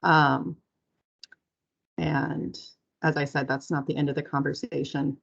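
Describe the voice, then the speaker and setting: A woman giving a measured, explanatory semi-formal presentation as agency staff on a muffled conference call. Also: deep voice, level tone, creaky, breathy edge.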